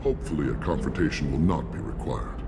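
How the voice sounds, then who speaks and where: An actor playing a formal, measured male agent with quietly menacing courtesy in a sci-fi video game. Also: rumbling low, lively intonation, heavy background noise. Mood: coolly polite. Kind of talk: scripted video game dialogue.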